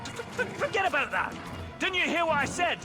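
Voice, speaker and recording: A man playing a gruff, hot-tempered dwarf hunter in a video game cutscene, blustering and impatient, with music under his voice. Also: very high-pitched, sing-song, a little fast. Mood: agitated, impatient.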